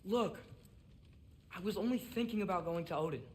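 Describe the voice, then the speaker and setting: Man playing a high-pitched, defiant American teenage boy. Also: moderate pace, a little mellow, lightly acted. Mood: argumentative, pleading. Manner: petulant, argumentative. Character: defiant, headstrong teenage son. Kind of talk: video game voice acting.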